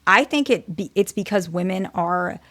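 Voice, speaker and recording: A soft-spoken woman with a quiet voice, a therapist hosting her channel, giving casual, candid relationship advice to her viewers, often pausing and restarting her phrases. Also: on the low side, lively intonation. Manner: candid, hedging, talks in short restarting phrases. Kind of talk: casual advice talk to camera.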